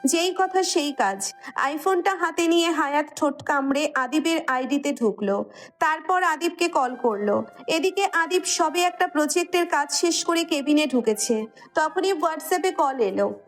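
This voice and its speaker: High-pitched Bangladeshi woman narrating a love story. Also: lively intonation, measured pace, faint music behind. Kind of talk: scripted audio-story narration.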